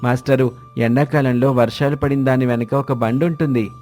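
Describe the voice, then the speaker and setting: A man's voice: mid-range voice, steady tone, moderate pace, a little mellow, soft background music. A man reading scripted dialogue for village characters in a moral story. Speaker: story voice actor.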